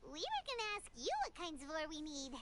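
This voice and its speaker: Squeaky, very high childlike fairy companion. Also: female voice, big pitch swings, brisk, heavy background noise. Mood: eager, curious. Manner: refers to self in third person. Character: small chirpy floating fairy companion, childlike, eager. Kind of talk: video game voice acting.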